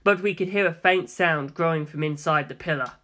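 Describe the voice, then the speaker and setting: Guy narrating an audiobook story, first person, fairly high voice, bright and forceful. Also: fairly quick.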